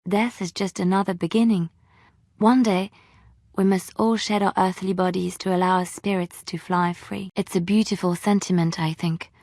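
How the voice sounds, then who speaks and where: An actress playing a gentle, romantic young woman bard in a fantasy video game, soft-spoken, wistful and a little coy. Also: mid-range voice, dry recording, clean studio sound. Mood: solemn, comforting. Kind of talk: video game character dialogue.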